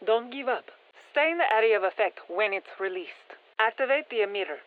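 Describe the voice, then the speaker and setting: An actress playing a brisk, focused female military scientist giving clipped mission instructions over a radio in a video game. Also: fairly high pitch, expressive. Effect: radio filter. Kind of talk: scripted game mission briefing. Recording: tinny band-limited radio transmission.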